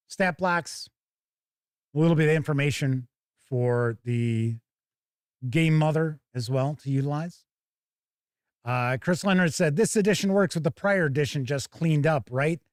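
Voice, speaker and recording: A man's voice: fairly high pitch, no echo, studio quality. A man hosting a tabletop game review stream, chatting casually and thinking aloud as he comments on an unboxing.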